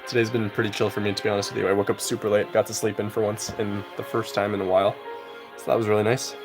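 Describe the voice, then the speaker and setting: A young man streaming a game, chatting casually with viewers in a relaxed, rambling way full of filler words. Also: on the low side, quick pace, music in the background. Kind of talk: casual live-stream chat while gaming.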